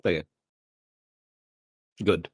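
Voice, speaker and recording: A man's voice: extremely slow, dry recording. A man casually recapping a tabletop role-playing session as a player, with dry, mock-exasperated asides.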